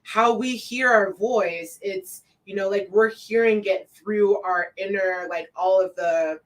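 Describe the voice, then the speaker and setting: Brief: woman host chatting unhurried on a live stream, mid pitch, a little distant from the mic. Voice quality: says her own voice sounds deep to herself. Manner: relaxed, many fillers, reads viewer comments aloud. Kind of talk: casual live-stream chat.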